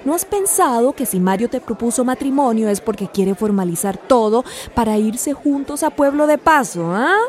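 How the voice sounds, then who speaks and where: A woman acting a supportive, chatty best friend in a radio soap, with animated, drawn-out exclamations. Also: a little high, close to an everyday voice. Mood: encouraging, probing. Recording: studio radio drama with music behind.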